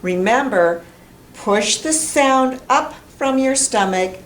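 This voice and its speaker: American woman, middle-aged teacher, very slow emphatic delivery, careful enunciation, roomy distant recording. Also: high-pitched, expressive. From United States. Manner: deliberate, emphatic, enunciating sounds and words. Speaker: English pronunciation teacher. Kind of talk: instructional pronunciation lesson to camera. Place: small classroom with whiteboard, indoors. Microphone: camera mic at a distance. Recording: roomy, distant camera mic, faint noise.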